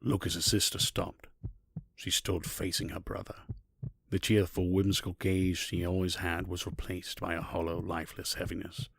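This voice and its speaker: A man's voice: deep voice, level tone, moderate pace, vocal fry, fairly bright tone, slightly rough, no echo. A man narrating a scripted fantasy story as the narrator of an audio drama.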